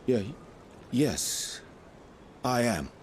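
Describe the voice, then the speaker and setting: Casting: bookish game sage, unhurried, mid-pitched, archaic and reserved, grave delivery. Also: male voice, very animated, slight background noise. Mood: awkward, contrite. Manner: formal archaic phrasing, halting, grave. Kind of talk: scripted video game acting.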